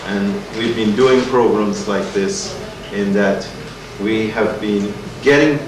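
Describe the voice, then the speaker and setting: A man's voice: fairly low pitch, takes it slowly. A man from Guyana, hosting a community lecture in an earnest, emphatic, rhetorical way. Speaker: event host. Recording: echoey hall, distant mic, noisy.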